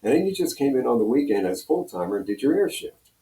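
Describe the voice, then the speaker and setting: A man's voice: medium pitch, sing-song, quick pace, mellow. Older American man, distant and echoey. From Pennsylvania, United States. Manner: rambling, anecdotal storytelling. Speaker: guest, retired radio personality. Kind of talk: casual reminiscing interview. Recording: roomy echo, distant from mic.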